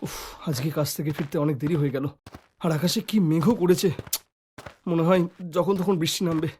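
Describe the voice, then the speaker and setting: Man acting a high, quick earnest villager. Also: dark tone, slight background noise, natural voice. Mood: weary. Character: earnest, hardworking young village elder brother. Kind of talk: cartoon moral-story voice acting.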